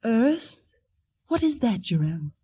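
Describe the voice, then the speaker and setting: A woman's voice: on the high side, very animated, slow, dark tone, breathy edge, heavily acted. An actress playing a devoted wife and anxious mother on a doomed planet, in melodramatic 1940s radio style. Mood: curious, dismayed. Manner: melodramatic, earnest. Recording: very noisy old 1940 radio broadcast.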